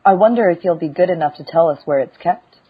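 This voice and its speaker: A woman's voice: fairly low pitch, fast, slightly rough. A woman voicing a stern, formal armored woman warrior in a fan podcast read-through, speaking in an earnest, formal way. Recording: muffled lo-fi recording.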